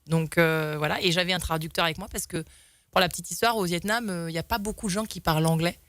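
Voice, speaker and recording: A woman's voice: lowish voice, steady tone, fast talker, fairly bright tone, no room sound. Chatty woman guest, rambling and dry. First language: French. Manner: rambling, many fillers and restarts. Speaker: talk show guest. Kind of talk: casual storytelling in an interview.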